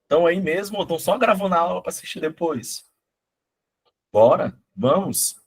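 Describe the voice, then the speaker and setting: A middle-aged man, hoarse from a cold, teaching a live online math exam-prep class in a chatty, coaxing way, often checking in with his students. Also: a little high, lively intonation, moderate pace. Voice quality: husky.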